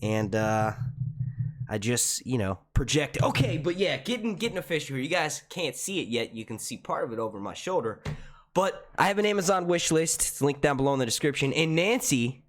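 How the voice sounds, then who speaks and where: A young man hosting a casual live stream at home into a desk microphone, chatty and profane, with a naturally loud, carrying voice. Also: fairly high pitch, sing-song, brisk. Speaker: YouTube live stream host. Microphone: desk mic.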